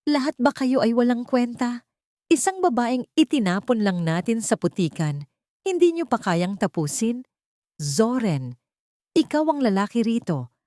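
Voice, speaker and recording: Audio drama villain: woman playing ruthless older matriarch, domineering, scornful, very animated delivery. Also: fairly high pitch, unhurried, dry recording, studio quality, voice pushed up. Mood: scornful, angry. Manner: scolding, commanding. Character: fierce, domineering older matriarch, cruel and scheming.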